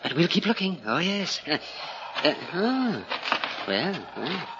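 An actor playing a genteel, enthusiastic plant-hunting gentleman in an old-time radio drama, courteous and precise. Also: high voice, sing-song, slow pace, full character voice. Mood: excited. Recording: dull vintage radio recording, light noise.

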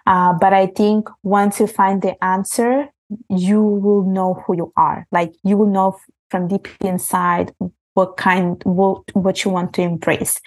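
A woman's voice: mid-range voice, a little mellow. A young woman from Italy, a podcast guest talking earnestly and reflectively, often restarting her sentences. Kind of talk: casual interview talk.

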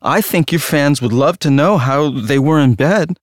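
A man's voice: on the high side, a little slow, no echo, clean studio sound, close to an everyday voice. A man playing an obsessive, crude true-crime fan in an audio drama, questioning insistently. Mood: prying, insistent. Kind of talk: dramatic audio play dialogue.

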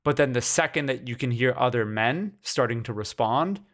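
Male co-host, mid-pitched, analytical, rhetorical questions. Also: lively intonation, moderate pace, a bit bright. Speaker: podcast co-host. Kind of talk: casual film discussion.

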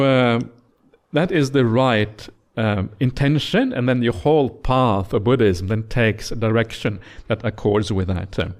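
Gravelly Norwegian-born man giving a dharma talk. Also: mid-range voice. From Norway. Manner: gentle, teasing, many filler uhs and yeahs. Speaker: monk teaching a retreat.

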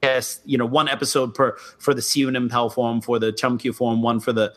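Measured explaining man, mid pitch. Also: level tone, a bit bright. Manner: explanatory, measured, mildly critical. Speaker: co-host, martial arts instructor. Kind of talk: casual podcast chat.

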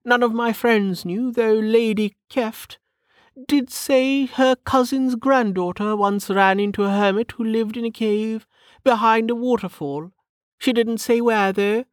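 A woman's voice: on the high side, measured pace, no room sound, clean studio sound, voice pushed up, close to an everyday voice. A woman voicing a prim, snobbish noblewoman in an audiobook, with a testy, proper manner. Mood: casual, prying. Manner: haughty, sniffing, proper. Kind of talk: audiobook character acting.

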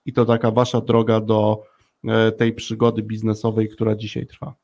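Male podcast host, flat even delivery, hesitant long-winded questions in a conversational interview. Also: lowish voice, moderate pace, dull audio.

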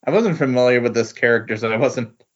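A man's voice: medium pitch, fast talker. A man chatting casually about comic books as a podcast guest, in a hesitant, agreeable manner. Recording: dull, lo-fi recording.

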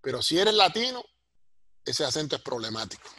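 A middle-aged or older man with a Puerto Rican Spanish accent and a husky voice, explaining things like a professor in an interview and punctuating his points with tag questions. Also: fairly high pitch, very animated, brisk, bright, forceful tone. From Puerto Rico. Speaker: sociology professor, interview guest.

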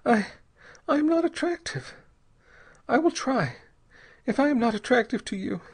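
A man's voice: very high-pitched, lively intonation, husky, pitched above the performer's own voice, full character voice. A man playing a panicked young mother, pleading. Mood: desperate. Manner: pleading, halting, choking on words. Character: desperate young mother, Spanish-speaking woman, panicked.

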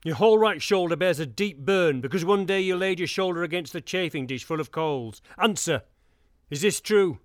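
An actor playing a dignified, kindly male mayor with measured authority. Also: on the high side, animated, dry recording, clean studio sound. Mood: urgent. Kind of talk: radio drama acting.

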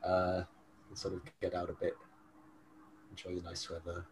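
A young man co-hosting a podcast, with a very low, deep voice. He rambles along in an unhurried way. Faint street noise, sirens now and then, sits behind him. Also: completely flat delivery, a little mellow, rough recording. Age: young adult. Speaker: podcast co-host. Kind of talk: casual interview chat.

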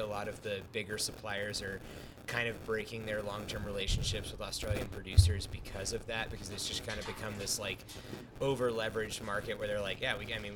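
A man with a fairly low voice talks as a brewer in a casual podcast interview. He explains things at length, reflective and careful to hedge. There is clear noise behind him. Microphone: podcast microphone.

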